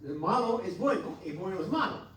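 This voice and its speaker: American pastor preaching in an echoey hall. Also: male voice, sits high, expressive, fairly bright tone, slight background noise. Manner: earnest, exhorting with halting restarts. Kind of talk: Sunday school teaching. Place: church hall. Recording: echoey church hall from far off.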